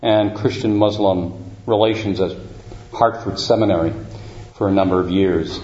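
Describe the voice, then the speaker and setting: A man hosting a university evening talk introduces the guest in a halting, formal way, with plenty of fillers. His voice is lowish and warm, and the recording carries a roomy echo. The sound is a bit muffled. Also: fairly even intonation, a little hiss or hum. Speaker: event host introducing a speaker. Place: university lecture room. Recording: roomy, dull lecture-hall recording.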